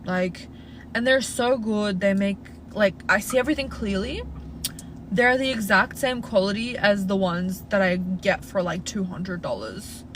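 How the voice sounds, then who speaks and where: A woman's voice: mid-range voice, measured pace, poor audio. Aussie girl doing a live q&a from her car, lots of "like", chill and reassuring, road noise going behind her. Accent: Australian. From Australia. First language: Russian. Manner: chatty, frequent "like" fillers, reassuring advice-giver. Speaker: livestream host answering viewer questions. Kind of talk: casual live Q&A. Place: inside a parked car.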